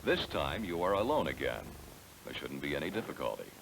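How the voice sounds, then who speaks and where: A noisy 1970s training film soundtrack carries an American man with a low-pitched voice. He reads scripted instructions in a measured, explanatory way, speaking straight to the listener with lively rises and falls in his intonation. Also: vocal fry. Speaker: narrator of a car sales training film.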